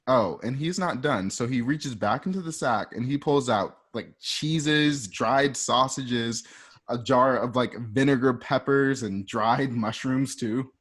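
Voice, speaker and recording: Chatty male narrator, medium pitch. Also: measured pace, a bit bright, husky. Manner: chatty, storytelling. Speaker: narrator reading stage directions. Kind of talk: informal scene narration. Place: at home.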